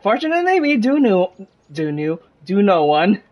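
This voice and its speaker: A man's voice: high voice, sing-song, moderate pace, darkish tone, no room sound. A man giving casual live commentary as a game streamer, with wry asides.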